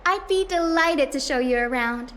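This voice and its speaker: An actress playing a sweet, friendly, eager young girl in a video game, with a gentle manner. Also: high-pitched, normal speed, a little hiss or hum. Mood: cheerful, eager. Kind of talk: scripted video game dialogue.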